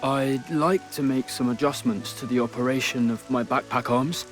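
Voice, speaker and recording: A man's voice: mid-range voice, steady tone, moderate pace, soft background music, natural voice. Man acting a meek, hesitant young tinkerer. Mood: earnest. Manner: hesitant, apologetic, soft-spoken. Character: meek, polite, shy young male tinkerer. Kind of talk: video game cutscene acting.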